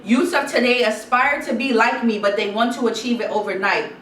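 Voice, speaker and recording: A young Guyanese woman talks through a sit-down vlog in a chatty, animated way, and her voice sits a little on the high side. She sounds a bit away from the mic in a roomy, echoey space, with a faint hiss underneath. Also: brisk. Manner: animated, chatty, repeats herself, reads quotes aloud. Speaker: YouTube vlogger. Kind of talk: casual sit-down advice chat. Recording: roomy echo, slightly distant mic, faint noise.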